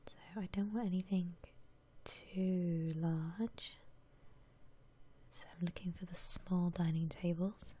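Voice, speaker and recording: A woman whispering softly and breathily into a close microphone, musing aloud in casual ASMR gameplay commentary. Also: lowish voice, fairly even intonation, slow, slight background noise. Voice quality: soft breathy whisper, almost entirely unvoiced. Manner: gentle, musing aloud to herself. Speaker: ASMR gamer narrating her house renovation gameplay.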